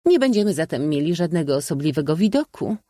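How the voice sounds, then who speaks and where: A woman's voice: sits low, very animated, fast, warm tone, no echo, clean studio sound, voice pushed up. A man voicing a haughty, callous aristocratic lady in an audiobook story. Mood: disdainful.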